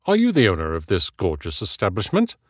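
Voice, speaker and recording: Man playing a flamboyant, smooth British charmer, swooping intonation. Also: low voice, huge pitch swings, a little fast, no room sound, studio quality, heavily acted. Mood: suave. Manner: theatrical, effusive. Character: boisterous, elegant British gentleman, flamboyant charmer.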